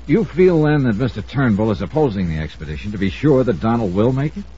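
An actor playing a skeptical, hard-nosed insurance investigator in old-time radio drama, with an American accent and a brisk, colloquial way of talking. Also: male voice, medium pitch, sing-song, warm tone, breathy edge. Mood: skeptical, probing. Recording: dull lo-fi vintage radio recording.

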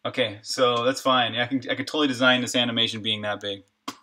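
A man's voice: mid-range voice, fairly even intonation, fast talker, background noise. A man streaming his game development live, talking casually through his code and reacting to chat. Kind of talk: live coding stream, thinking aloud.

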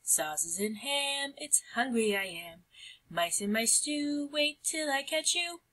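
A woman voicing a hungry, menacing storybook kitchen cat in a drawn-out, purring chant. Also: high-pitched, animated, bright, forceful tone, voice pushed up, full character voice. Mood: hungry menace. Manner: slow, drawn-out chanting purr.

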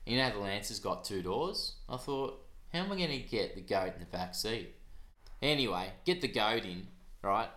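A man's voice: on the low side, lively intonation, normal speed, sharp tone. An actor playing a naive, eager young Australian neighbour who rambles through his stories. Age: young adult. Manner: naive, earnest, rambling storyteller. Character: dim eager young Aussie neighbour, gullible. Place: small suburban flat indoors.

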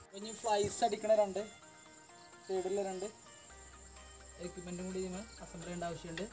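A young man talks quickly and casually, walking viewers through a gym bench build one step at a time. He is recorded outdoors in a leafy yard by a camera set at a distance. Music plays clearly beneath his voice. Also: sits high, steady tone. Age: young adult. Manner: chatty, explains step by step while assembling. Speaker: fitness vlogger. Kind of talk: casual how-to commentary. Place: outdoor garden yard among banana trees. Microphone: camera on tripod at a distance.

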